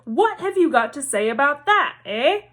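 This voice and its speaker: A woman voicing a furious wizard mother who scolds and shouts indignantly in a storybook reading. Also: high-pitched, sing-song, normal speed, voice pushed up. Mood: furious, scolding.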